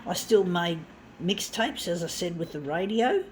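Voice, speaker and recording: A woman's voice: on the low side, lively intonation, a little slow, a little hiss or hum. A middle-aged woman reminiscing casually about mixtapes and zine culture, rambling with fillers and restarts. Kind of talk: casual reminiscing while working.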